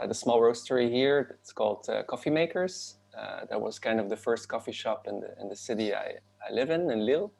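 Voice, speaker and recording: A man in his thirties from Belgium introduces himself on a video call, speaking from a room at home through a laptop mic. His voice sits low with a gravelly edge, and he talks in a loose, meandering way full of fillers and restarts. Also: darkish tone. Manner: rambling, many fillers and restarts. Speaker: panelist.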